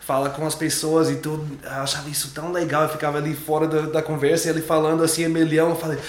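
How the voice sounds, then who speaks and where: A man's voice: fairly high pitch, completely flat delivery, fast talker, reverberant. A young adult man, a native English speaker, chatting casually as an interview guest, loose and repetitive, restarting his words. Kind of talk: relaxed interview chat.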